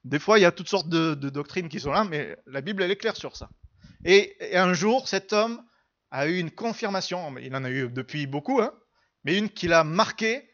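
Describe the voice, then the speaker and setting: A man's voice: on the high side, animated, normal speed, fairly bright tone, highs cut off. A man preaching a church sermon, telling and teaching in an earnest, emphatic way and repeating phrases for stress.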